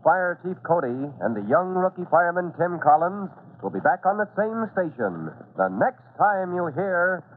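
Dramatic 1940s radio narrator, tinny sound. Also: male voice, high-pitched, very animated, normal speed. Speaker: narrator and announcer. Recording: narrow-band, slightly noisy 1940s radio recording.

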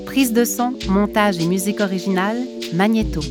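A woman reading the scripted narration and credits of a podcast in a measured, clear way. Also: medium pitch, over music.

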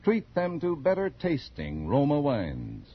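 A man working as a commercial announcer, reading a 1940s radio advertisement in a husky voice. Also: mid-range voice, wildly swooping pitch, a little slow, soft background music. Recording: muffled 1940s radio broadcast.

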